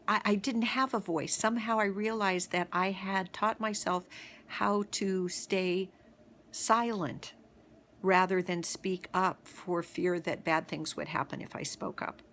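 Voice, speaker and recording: A middle-aged woman telling a reflective personal story as host of a daily video series, halting often, with pauses and restarts. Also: mid-range voice, fairly even intonation, fairly bright tone, slight background noise.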